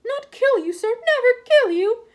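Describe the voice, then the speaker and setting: A woman voicing a tiny, servile male house elf who pleads in a high, tearful whisper. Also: animated, unhurried. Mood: earnest pleading.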